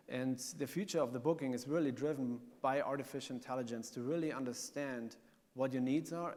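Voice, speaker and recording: A German-accented man giving a panel talk at a conference, earnest and explanatory, pausing on ums. His mid-pitched voice carries a long hall reverb. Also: darkish tone. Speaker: panel speaker.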